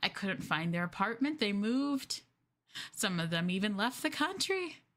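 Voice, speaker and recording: A woman's voice: medium pitch, big pitch swings, unhurried, a bit bright. A woman playing an obsessive, possessive girlfriend in an ASMR roleplay, sweetly menacing and coaxing the listener in a cooing manner. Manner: cooing, coaxing, breaking into giggles and whispers.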